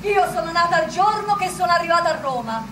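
A woman's voice: very high voice, animated, fast, noisy background. An actress playing a fiery woman patriot in an 1849 tavern, in a theatrical stage style. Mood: fervent. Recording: echoey, distant, with music behind.

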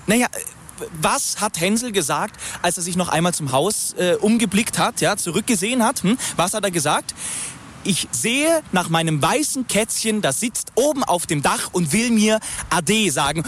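Radio drama: male fairy-tale scholar, earnest, pedantic, obsessive, lightly high voice, faint noise outdoors. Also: animated, moderate pace, fairly bright tone. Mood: excited, lecturing. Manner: pedantic, leading questions, insistent. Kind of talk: naturalistic radio drama dialogue. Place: outdoors in woodland.

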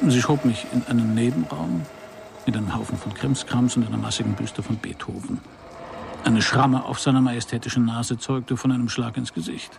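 An actor playing a wry, unruffled private detective in a radio crime drama, narrating and talking in a dry, polite way. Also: male voice, medium pitch, normal speed, gravelly, background noise. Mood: wry, observant.

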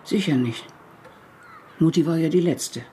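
A woman's voice: low-pitched, a little slow, warm tone, a little hiss or hum. A woman playing a doubtful, wistful older wife, resigned and mildly reproachful, in a radio drama. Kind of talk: naturalistic German radio drama.

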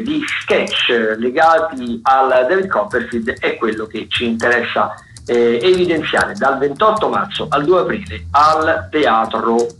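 A man hosting a radio show, talking semi-improvised through event listings, often halting to search for words and doubling back. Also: medium pitch, a bit bright, reverberant, slight background noise, poor audio. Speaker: radio host presenting theatre listings.

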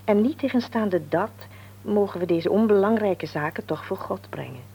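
An actress playing a devout, gentle older mother in a radio drama, speaking earnestly and haltingly as she searches for words. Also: mid-range voice, breathy edge, dull audio. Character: devout, gentle old mother counselling her stubborn son.

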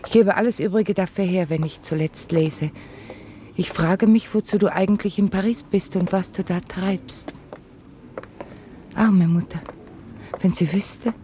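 Give this as a wistful, fragile young woman played by an actress in a radio drama. Her voice is soft-edged and mellow, her delivery intimate, halting and dreamy. Faint ambient noise sits behind her. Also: medium pitch, lightly acted. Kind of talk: German radio drama acting.

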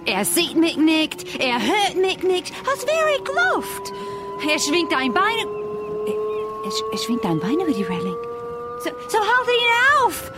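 A woman's voice: extremely high pitch, wildly swooping pitch, measured pace, exaggerated voice. An actress playing a flirtatious, pampered lady passenger in a radio drama, with an affected, coquettish delivery over music. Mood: alarmed. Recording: music behind the voice.

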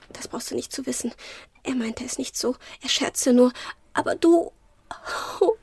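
A woman playing an infatuated, fragile young actress in a literary radio play, with a breathy voice. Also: high voice, darkish tone, no room sound. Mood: desperate pleading.

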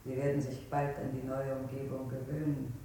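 Far off in a heavily echoing space, a woman questions as a coldly polite interrogator. Her low voice moves widely in pitch, accusing under the courtesy, in sixties radio-drama style. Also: little pitch movement, fairly quick, warm tone. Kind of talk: 1960s German radio play acting. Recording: very echoey, distant, faint rumbling noise.

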